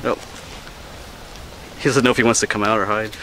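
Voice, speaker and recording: A man from Pennsylvania narrating his hike in a relaxed, chatty way, recorded outdoors on a camera. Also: fairly low pitch, fairly quick, rough recording. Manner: relaxed, chatty, pointing things out. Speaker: hiking vlogger. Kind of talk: casual narration while hiking. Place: outdoors on a wooded mountain trail. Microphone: handheld action camera. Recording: outdoor noise, insects and wind.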